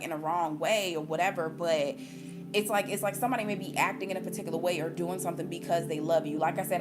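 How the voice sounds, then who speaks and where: Low-pitched American woman, music behind. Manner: conversational, emphatic, repeating points for stress. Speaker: spiritual reader giving intuitive readings to viewers. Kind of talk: casual intuitive reading monologue to viewers.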